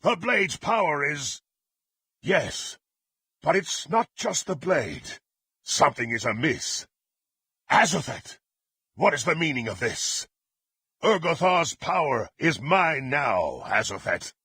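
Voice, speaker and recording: A ruthless half-orc blackguard for a fantasy video game. His voice is gruff and husky, recorded dry and close. He speaks slowly and imperiously, like someone used to making threats and hungry for power. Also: on the high side, sing-song, pushed and bright, no room sound, full character voice. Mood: defiant, angry. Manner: menacing, imperious, theatrical declamation.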